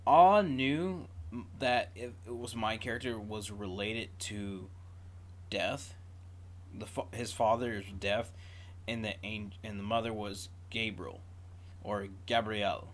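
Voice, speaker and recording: A man's voice: on the low side, slow. A man giving casual, off-the-cuff reaction commentary as a video host, drifting into digressive storytelling.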